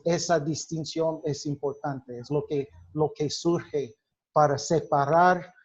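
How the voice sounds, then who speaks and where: Slow, halting male teacher. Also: fairly high pitch, a little mellow. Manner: slow, halting delivery with many restarts, quizzing the class. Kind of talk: classroom lecture. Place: classroom. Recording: dull lo-fi recording.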